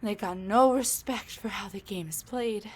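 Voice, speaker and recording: A woman playing a sassy, weary female supervillain in a roleplay audio drama, talking in a casual, wry, halting way straight to the listener. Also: mid-range voice, breathy edge. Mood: rambling, indignant.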